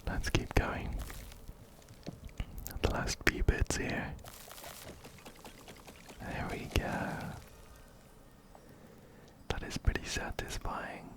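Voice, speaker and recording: Slowly whispering man, close to the mic. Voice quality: soft breathy whisper. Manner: slow, soothing, repeats phrases gently. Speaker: ASMR host playing a video game. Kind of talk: ASMR whispered game commentary. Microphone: close-up binaural microphone.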